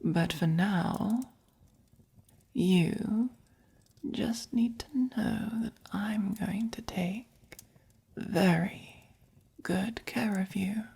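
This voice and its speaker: Doting, gently dominant English vampire played by a woman for ASMR roleplay; breathy, slow, mellow. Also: medium pitch, full character voice. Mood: reassuring. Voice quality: breathy, whispery. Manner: slow, coaxing, gently commanding. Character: gentle, possessive, doting dominant vampire keeping a human pet. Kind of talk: soft-spoken ASMR roleplay.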